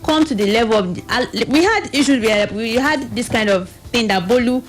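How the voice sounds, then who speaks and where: A woman's voice: on the high side, lively intonation, a little fast. A woman with a Nigerian accent speaking as a guest on a radio panel, explaining earnestly and often voicing other people's questions as she goes. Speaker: guest from a youth reproductive health organisation.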